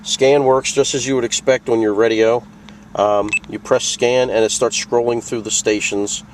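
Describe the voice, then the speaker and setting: A man's voice: mid-range voice, steady tone, normal speed, slight background noise, rough recording. A man presenting a product tutorial in a casual, plain-spoken, conversational way, walking through things step by step. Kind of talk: casual instructional walkthrough.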